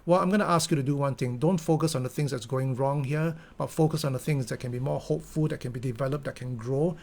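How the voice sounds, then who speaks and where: A man's voice: medium pitch, fast, creaky, a little mellow. A middle-aged Singaporean man with a Singaporean English accent, giving an earnest motivational talk full of fillers and restarts. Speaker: company founder giving a talk.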